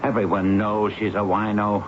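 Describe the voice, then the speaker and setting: An actor in a radio drama playing a hard-hearted building boss, curt, impatient and sarcastic. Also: male voice, lowish voice, level tone, moderate pace, close to an everyday voice. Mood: sneering impatience. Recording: noisy muffled old radio recording.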